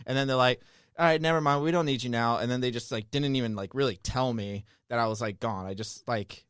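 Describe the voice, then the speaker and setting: A man's voice: medium pitch, a little fast, a bit bright, clear, resonant tone, dry recording, studio quality. A man talking as a guest on a podcast, rambling in a laid-back way and laughing often. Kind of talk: casual podcast chat.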